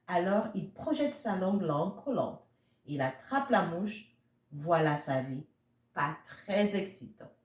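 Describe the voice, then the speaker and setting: A woman's voice: on the low side, animated, measured pace. A woman reading a picture book aloud expressively for young children, addressing her listeners as friends. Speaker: children's storybook reader. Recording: roomy, echoey sound.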